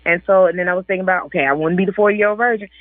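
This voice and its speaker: A woman's voice: fairly low pitch, steady tone, very fast, no room sound, soft background music. A woman in her forties, an author and guest on a casual radio interview, chatty and laughing often as she quotes other people. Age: 40s. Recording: dry tinny phone line.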